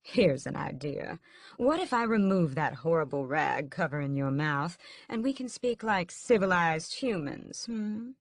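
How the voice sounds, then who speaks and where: A woman's voice: sits low, expressive, measured pace, dry recording, studio quality, exaggerated voice. A woman voicing a suave, sinister male vampire captor who drawls with languid, mocking menace in an audiobook. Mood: amused, taunting.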